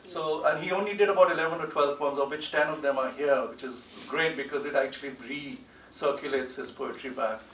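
A man's voice: fairly high pitch, steady tone, a little fast, fairly bright tone. A middle-aged man speaking and reading as a poet at a public panel event. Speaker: poet, translator and book editor on a panel. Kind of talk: panel talk and poetry reading. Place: large indoor lecture theatre. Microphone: desk gooseneck microphone. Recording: roomy hall, distant mic, faint noise.

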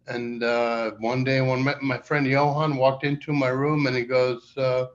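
A middle-aged man, telling stories in a relaxed, halting way full of fillers on a video call. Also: mid-range voice. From Iceland. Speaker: documentary director. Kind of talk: casual interview answers. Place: indoor room with plain wall, video call. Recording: dull lo-fi video call audio.

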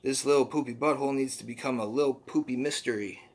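A man's voice: medium pitch, fast, husky. A man voicing a cheery, folksy little male poop creature from a comic, who keeps saying "ooh-wee.". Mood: chirpy.

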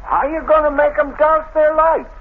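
A man's voice: very high-pitched, monotone, brisk. An actor playing an eager, obedient gangster henchman who talks in tough, deferential slang in an old radio drama. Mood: impressed. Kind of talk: 1940s radio crime drama acting. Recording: noisy, narrow-band old radio recording.

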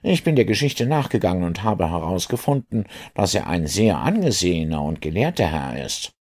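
A man's voice: deep voice, very animated, moderate pace, darkish tone, breathy edge, exaggerated voice. A man voicing an earnest, sceptical police inspector with a questioning, insistent manner in an audiobook. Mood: sceptical, chatty.

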